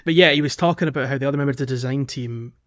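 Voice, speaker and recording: A man with a medium-pitched voice chats as a podcast co-host. His delivery is informal and hesitant, with phrases that stop and start as he works through a thought. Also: racing, dull audio. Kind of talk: casual video game podcast chat.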